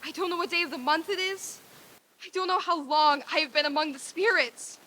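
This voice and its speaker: A woman's voice: very high-pitched, fairly quick, pushed and bright, slight background noise, poor audio. A woman playing a reformed, overjoyed old miser in a theatrical old-time radio drama reading, with exclamatory delivery. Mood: bewildered joy.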